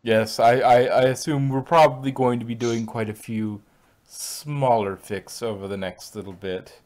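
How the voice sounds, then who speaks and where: Casual male co-host, medium pitch. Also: fairly even intonation, normal speed, darkish tone. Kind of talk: casual chat.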